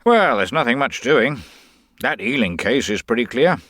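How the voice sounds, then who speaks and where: A man as a brusque, animated police inspector. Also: medium pitch, normal speed, dry recording, voice pushed up. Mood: startled, matter-of-fact. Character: brusque, sceptical male Scotland Yard police inspector. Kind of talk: British radio detective drama reading.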